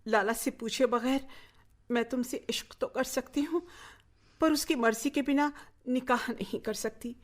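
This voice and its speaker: An actress playing a fiery, proud, sharp-tongued woman in a radio drama, bossy in her manner. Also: high voice, unhurried. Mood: impatient, defiant. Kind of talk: Hindi-Urdu radio drama acting.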